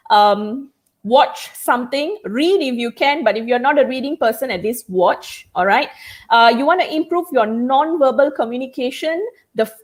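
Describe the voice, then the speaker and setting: A woman whose first language is Tamil, speaking as a communication trainer in a live online chat, explaining tips and often checking in with "okay?" and "all right?". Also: on the high side. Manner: instructive, peppers speech with "all right?" and "okay?".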